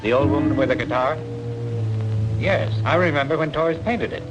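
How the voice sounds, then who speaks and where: A man's voice: a little high, normal speed, music in the background, lightly acted, low-quality recording. An actor playing a blunt, scornful art-world man in an old radio drama, with a caustic manner.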